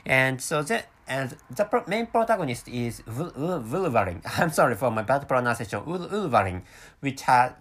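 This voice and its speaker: A man's voice: medium pitch, very animated, a bit bright, slightly rough. A man in his thirties from Japan with a Japanese accent, hosting a rambling solo podcast in English, his second language, with many halts and restarts. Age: 30s. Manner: halting, many restarts and repeated words. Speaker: podcast host.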